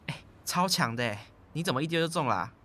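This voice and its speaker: A young man voicing a chatty, teasing high school boy who talks eagerly. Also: lively intonation, brisk, sharp tone.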